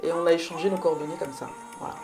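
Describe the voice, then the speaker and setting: Low-voiced creaky woman giving interview answers. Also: steady tone, very fast, noisy background. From Belgium. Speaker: musician, singer and guitarist. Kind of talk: interview answers about personal career.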